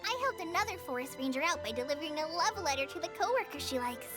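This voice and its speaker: A woman voicing a tiny, cheerful, childlike fairy companion in a video game, squeaky and bubbly with an exaggerated delivery. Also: expressive, quick pace. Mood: chirpy. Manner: bubbly, exaggerated, refers to self in third person. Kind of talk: video game cutscene dialogue. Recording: music behind the voice.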